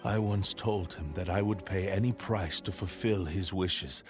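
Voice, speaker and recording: Low, grave sword spirit. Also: male voice, unhurried, music in the background. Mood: solemn, wistful. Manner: grave, formal, archaic phrasing. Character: sentient cursed sword spirit, male, proud, sorrowful, devoted. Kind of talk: video game voice acting.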